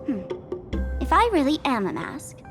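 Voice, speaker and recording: A woman's voice: high voice, very animated, moderate pace, music in the background. An actress playing a theatrical, cocky female trickster villain in an anime game, teasing and dramatic. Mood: amused, sly. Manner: teasing, dramatic, speaks of herself in third person.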